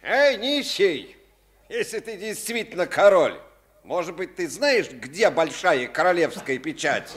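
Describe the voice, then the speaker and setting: An actor playing a haughty, hostile lord, commanding and theatrical in a Soviet radio play. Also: male voice, sits high, very animated, unhurried. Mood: scornful.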